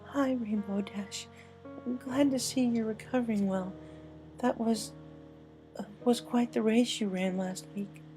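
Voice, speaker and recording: A woman's voice: fairly high pitch, fairly even intonation, unhurried, dark tone, faint music behind, close to an everyday voice. A woman voicing a grieving, anxious young female pony in a story, with a shaky, tearful, hesitant voice. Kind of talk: dramatic fan-fiction story reading.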